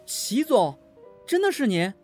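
A man's voice: very high voice, sing-song, measured pace, darkish tone, faint music behind, full character voice. A man in an audio drama playing an eager young employee in his twenties, polite and deferential. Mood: eager, grateful. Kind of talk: amateur Chinese audio drama acting.

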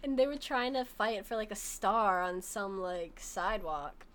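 A young woman co-hosting a casual podcast chat, excitable and laughing often. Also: mid-range voice, a little slow. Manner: giggly, excitable, lots of laughter. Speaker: podcast co-host.

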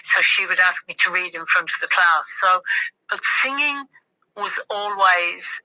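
Irish woman with a very bright, close voice. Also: on the low side, lively intonation, unhurried, no room sound, poor audio. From west of Ireland. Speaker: podcast guest. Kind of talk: reflective personal interview.

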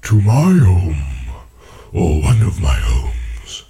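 An ancient tree-giant, deep and rumbling,. He drags out every word at a slow, unhurried pace, humming and pausing as he muses. His pitch rolls widely from low rumbles to sudden high exclaims. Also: huge pitch swings, vocal fry, mellow, heavily acted. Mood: musing. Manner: slow, musing, full of hums and pauses. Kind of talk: audiobook character reading.

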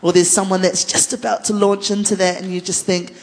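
A woman's voice: mid-range voice, little pitch movement, fairly quick, a little mellow. A woman with a New Zealand accent speaking off the cuff as a worship leader, earnest and conversational with playful asides. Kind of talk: unscripted panel conversation about faith. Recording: echoey hall with long reverb.

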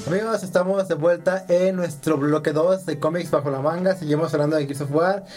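A man's voice: on the high side, fairly even intonation, fairly quick. A man with a Mexican accent hosting a casual video game podcast, joking and teasing in slangy speech over background music. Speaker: podcast host. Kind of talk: casual banter about video games.

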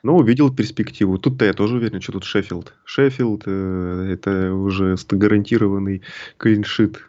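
A man co-hosting a casual football podcast, relaxed and easy to laugh. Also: on the low side, normal speed. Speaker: podcast co-host. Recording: dull lo-fi sound.

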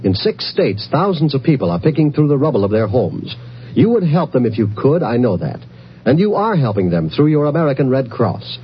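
A man's voice: medium pitch, sing-song, brisk. A male announcer reading an earnest, solemn 1950s radio charity appeal with urgent, rhetorical phrasing. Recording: muffled old-time radio recording, faint hum.

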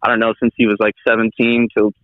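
A man's voice: fairly low pitch, completely flat delivery, no room sound. A young man in his twenties rambles through a casual podcast chat, stopping and restarting often. His voice comes over a thin, phone-like line with very little room sound. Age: 20s. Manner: rambling, halting, with many restarts and fillers. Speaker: guest.

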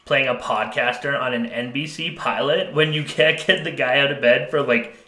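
Bright-voiced man podcasting in an echoey room. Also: mid-range voice, moderate pace. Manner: candid, joking, self-deprecating. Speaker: podcast co-host. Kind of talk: casual comedic podcast chat.

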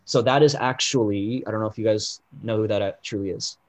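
A man co-hosting a casual pop-culture podcast, explaining things at length with lots of "like" and "um" fillers, and getting loud and animated when something riles him. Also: on the low side, fairly quick, faint music behind. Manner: rambling, heavy on "like" and "um" fillers. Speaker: podcast co-host.

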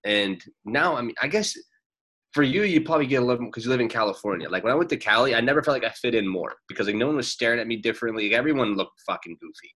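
A man's voice: medium pitch, lively intonation, racing, a bit bright, slightly rough. A man in his late twenties in casual conversation, blunt and rambling, swearing freely as he makes his points. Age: 20s. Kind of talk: casual podcast chat.

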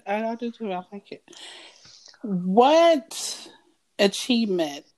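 A woman's voice: mid-range voice, animated, takes it slowly, a little mellow, poor audio. A woman interviewing a guest who answers with short affirmations and asks open questions. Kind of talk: conversational interview.

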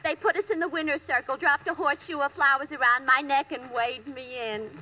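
An actress playing a brassy, catty bride-to-be with crude wisecracks in an old-time radio comedy, gushing and calling everyone dearie. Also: female voice, high voice, steady tone, a little fast, sharp tone, rough recording. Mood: gleeful, boastful. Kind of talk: 1940s-50s radio comedy acting.

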